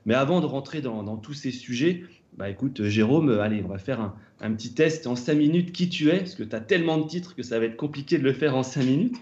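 A man's voice: medium pitch, animated, a little fast, darkish tone, muffled recording. A man hosting a podcast interview, welcoming his guest with many hesitant fillers. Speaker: podcast host.

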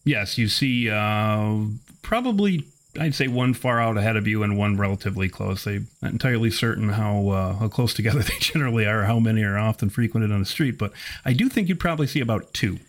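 A man narrating a tabletop horror game as game master, in a measured, descriptive manner. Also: fairly low pitch.

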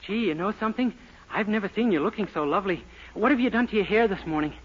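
A high-voiced bumbling young man. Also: animated, fast, breathy edge. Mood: wheedling. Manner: flustered, boyish and eager. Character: naive young man, bumbling and easily panicked. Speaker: lead comic character. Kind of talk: 1940s radio sitcom acting. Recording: dull old radio broadcast, loud hiss.

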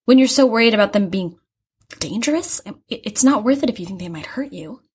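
An earnest young female student in an audio drama, with a slightly husky, breathy voice. She talks openly and chattily, rambling and hesitating as she searches for the right words. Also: mid-range voice, animated, brisk, darkish tone. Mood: hesitant, concerned. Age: young adult. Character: earnest, chatty young art student who hears angels. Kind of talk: naturalistic audio drama acting.

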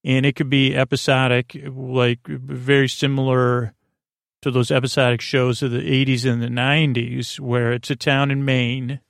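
A man hosting a bedtime podcast in a murmuring drone, meandering and halting as he stammers and repeats words. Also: medium pitch, flat delivery, gravelly, dry recording, clean studio sound. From United States. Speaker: podcast host. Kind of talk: rambling bedtime podcast monologue.

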